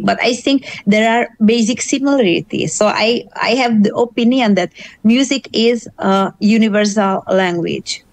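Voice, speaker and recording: A middle-aged woman from Hungary with a Hungarian accent, a guest in an interview who explains her views carefully and pauses often on "uh" while searching for words. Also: medium pitch, vocal fry, dry recording. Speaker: interview guest, gamelan singer. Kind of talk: thoughtful interview answers.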